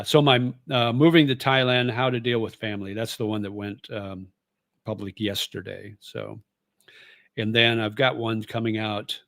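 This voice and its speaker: A man's voice: fairly low pitch, a bit bright, no echo. Middle-aged man, ex-radio host, casual livestream chat, rambling and easygoing, dry close sound. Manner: rambling, easygoing, frequent fillers and chuckles. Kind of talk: casual live chat reading viewer comments.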